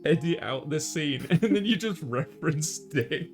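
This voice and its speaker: A man's voice: on the high side, lively intonation, a little slow. A man hosting a let's play with casual, good-humoured, slightly flustered banter. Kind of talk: casual bantering game commentary. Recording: music playing behind the voice.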